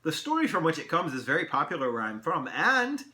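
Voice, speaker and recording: A man voicing a tiny, merry and pompous male elf in a storybook, with theatrical, old-fashioned, drawn-out phrasing. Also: fairly high pitch, wildly swooping pitch, bright, forceful tone, roomy sound, voice pushed up, exaggerated voice. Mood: musing, playful. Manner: theatrical, archaic formal phrasing, drawn-out delivery. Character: tiny mercurial male elf, merry, pompous, riddle-loving pipe smoker.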